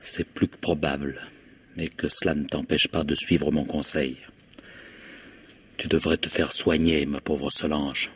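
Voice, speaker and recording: An actor in a French radio drama playing a weary, cutting husband, husky-voiced, curt and reproachful. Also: male voice, rumbling low, level tone, a little slow, a bit bright, heavily acted. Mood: cold, firm. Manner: curt, reproachful, dryly sarcastic. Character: exasperated, cutting husband weary of his jealous wife.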